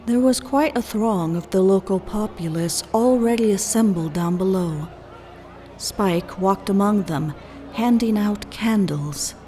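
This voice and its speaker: A woman narrating a scripted fan fiction story in a measured storybook style. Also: medium pitch, dark tone. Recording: long reverb, music behind.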